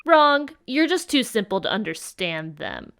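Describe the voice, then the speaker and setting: A woman's voice: fairly high pitch, big pitch swings, unhurried, creaky, music in the background, full character voice. A woman playing a smug, teasing alien troll prankster in an audio drama, mocking and condescending. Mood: defensive, smug.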